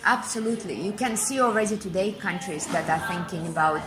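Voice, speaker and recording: Low-voiced emphatic woman from Kazakhstan. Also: a little fast, over music, rough recording. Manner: fluent and emphatic, repeats phrases for effect. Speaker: interview guest, business speaker. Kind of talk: thoughtful interview answers.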